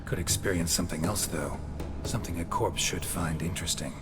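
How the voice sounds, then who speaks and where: An actor playing a gruff, terse mercenary with a dry, laconic manner in a video game. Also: male voice, low-pitched, brisk, natural voice. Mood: dry, persuasive. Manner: laconic, deadpan, clipped sentences. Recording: loud music behind.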